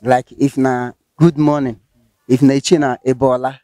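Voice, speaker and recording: A man's voice: medium pitch, level tone, moderate pace, dark tone, no room sound, studio quality. A man from Rivers State, Nigeria, speaking with a Nigerian Pidgin accent and answering hesitantly, with many fillers and restarts. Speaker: interviewee. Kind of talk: casual street interview.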